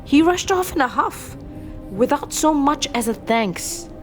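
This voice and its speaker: Slow, cryptic fortune-teller played by a woman. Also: sits high, expressive, faint music behind. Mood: bitter, brooding. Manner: inverted, archaic phrasing, ominous pronouncements. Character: cryptic fortune-telling woman, brooding and grudging. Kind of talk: fantasy audio drama acting.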